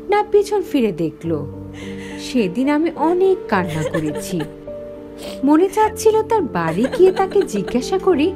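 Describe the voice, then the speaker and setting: A woman voicing a wistful young village woman, narrating a scripted cartoon story with dramatic expression. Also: sits high. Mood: hurt, sad. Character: Nina, wistful young village woman recalling lost childhood friend.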